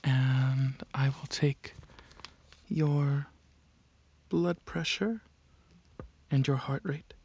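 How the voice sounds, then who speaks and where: A man performing soft-spoken ASMR roleplay as a calm alien dragon examiner, hesitant and clinical, with a breathy voice that slips into whispers. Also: mid-range voice, highs cut off, a little hiss or hum. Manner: hesitant, pausing, clinical questioning.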